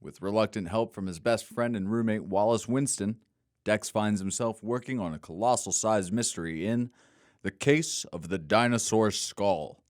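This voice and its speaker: A man's voice: fairly low pitch, moderate pace, darkish tone, dry recording, studio quality. A man narrating a scripted audio drama as a storyteller introducing the scene.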